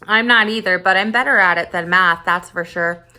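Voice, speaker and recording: A woman's voice: on the low side, moderate pace, sharp tone. A shy seventh-grade boy from a storybook, read by a woman, hopelessly smitten. He speaks earnestly and a bit awkwardly.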